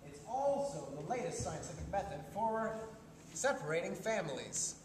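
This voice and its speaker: A man's voice: high voice, expressive, moderate pace. An exasperated, sardonic husband played by a man mocks theatrically, his voice swinging widely up and down. The amateur stage performance is caught by a distant mic in a noisy, reverberant hall. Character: sarcastic, exasperated husband mocking his wife's fad. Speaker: amateur actor. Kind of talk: amateur stage-play rehearsal.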